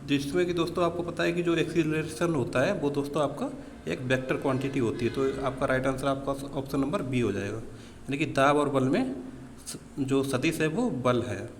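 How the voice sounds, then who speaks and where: A man's voice: medium pitch, normal speed. A man teaching exam practice questions in an explanatory way, mixing in English technical terms and addressing listeners as friends. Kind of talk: practice question walkthrough lesson. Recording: reverberant room with background noise.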